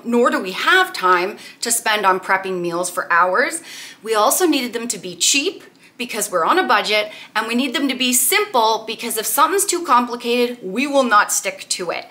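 A woman's voice: fairly high pitch, pushed and bright. A woman in her thirties casually walking through a recipe in her home kitchen, chatty and upbeat with lots of "like". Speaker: weight-loss cooking vlogger.

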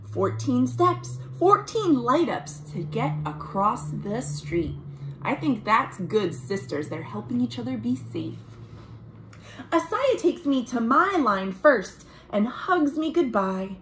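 A woman, reading a children's picture book aloud in an expressive, deliberate way as a storytime host. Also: on the high side, noisy background. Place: small room at home, indoors.

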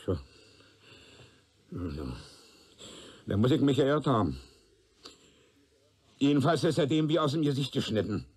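An actor playing an evasive, flustered tenant under questioning in a radio crime drama, hesitant and colloquial. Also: male voice, mid-range voice, animated, a little slow, pauses often, darkish tone, husky. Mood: uncertain. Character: nervous, evasive male witness, a nosy tenant turning flustered.

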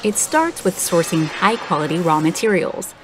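Lower-pitched woman narrating a documentary. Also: very animated, noisy background. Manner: smooth, measured, polished. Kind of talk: scripted voice-over narration. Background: background music.

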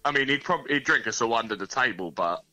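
Bright, dry-sounding band guest. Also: male voice, mid-range voice, lively intonation, quick pace, no echo, soft background music, rough recording. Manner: easygoing, laughs easily, thinks aloud. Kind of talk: casual interview chat.

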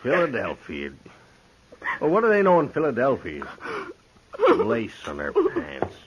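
Folksy bartender, very swooping pitch, hissy old radio recording. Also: male voice, huge pitch swings, measured pace, lo-fi sound. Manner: homespun, folksy. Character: kindly, plain-spoken saloon bartender.

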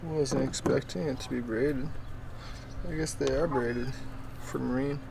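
A man's voice: mid-range voice, steady tone, measured pace. A man in his thirties explaining boat wiring steps in a casual, upbeat way, recorded on a camera mic outdoors in a boatyard. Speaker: sailing vlogger. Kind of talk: casual vlog chat. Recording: outdoor camera audio with clear yard noise.

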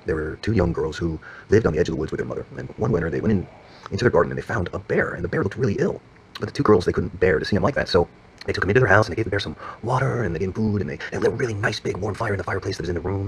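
A tired, tender dad in a screen drama. His low voice runs very fast and hesitantly, with a gravelly creak, over faint noise. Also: animated, low-quality recording. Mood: gentle storytelling. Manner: hesitant, repeating himself. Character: tender, tired father, speaking casually to his child. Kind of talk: naturalistic screen acting.

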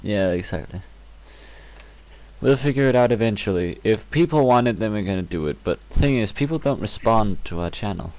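A man's voice: fairly low pitch, animated, moderate pace. A man co-hosting a gaming channel, chatting casually while playing and often losing his train of thought. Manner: rambling, soft-spoken, loses track.

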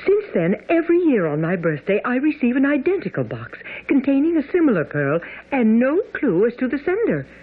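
An actress playing an earnest young Victorian gentlewoman in a radio drama, formal and precise in her puzzlement. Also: on the high side, big pitch swings, a little fast, hardly any pauses, slightly rough. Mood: earnest, troubled. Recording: tinny, phone-like old radio broadcast.

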